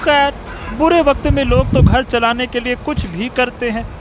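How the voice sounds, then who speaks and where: Someone doing a lazy boy donkey cartoon voice, really high and slow, grumbly and wry, bit of noise behind. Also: steady tone, lightly acted. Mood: puzzled, wry. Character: sleepy, lazy, sardonic talking male donkey. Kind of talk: comic animated story dialogue.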